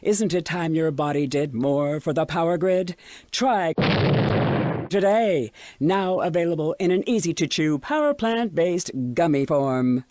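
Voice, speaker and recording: Eerily cheerful station announcer for radio ads; soft mellow tone, dry booth sound. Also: female voice, sits low, expressive, measured pace, no room sound. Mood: salesy.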